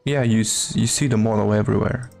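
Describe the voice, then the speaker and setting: A man's voice: lowish voice, fairly even intonation, fast talker, gravelly, darkish tone, soft background music. A man chatting casually as a live game streamer, hesitant and muttering to himself.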